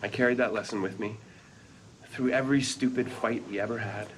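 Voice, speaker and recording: A low-voiced, wistful father played by a man tells his love story as a drama narration. He is earnest and measured, reflecting tenderly on his life. The recording is live, with clear noise around the voice. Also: steady tone, lightly acted. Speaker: actor at a script read-through. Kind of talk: reflective first-person scripted narration. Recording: noisy live room recording.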